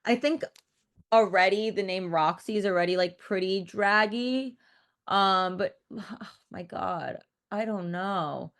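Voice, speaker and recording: A woman's voice: medium pitch, slow, a bit bright. A woman in her thirties, a director guest in a casual interview, talking in a rambling, loose way, full of "like" and restarts, and swearing frankly.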